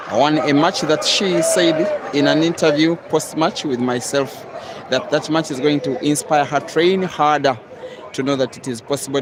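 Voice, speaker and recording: A man from East Africa giving live, measured commentary on a pool match, with sudden excited rises. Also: on the high side. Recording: loud crowd and noisy outdoor venue.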